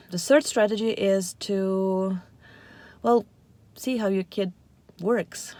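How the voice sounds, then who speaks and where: Mellow-voiced woman. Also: medium pitch, gravelly. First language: Russian and Ukrainian. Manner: chatty, unscripted, lots of fillers. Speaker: parenting channel host. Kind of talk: casual talk to camera.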